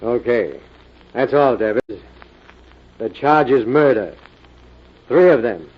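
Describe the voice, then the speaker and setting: An actor playing a firm, matter-of-fact police inspector in an old-time radio crime drama. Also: male voice, mid-range voice, expressive, slow pace, slightly rough. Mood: firm, official. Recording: muffled old radio recording.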